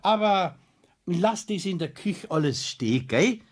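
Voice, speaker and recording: Bavarian family friend: fussy, hesitant, husky, lively higher-pitched voice, children's audio drama. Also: male voice, a little slow. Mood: awkwardly evasive. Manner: hesitant, evasive, often ending in a questioning tag. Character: fussy, awkwardly evasive male friend of craftsman. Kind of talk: children's radio play acting.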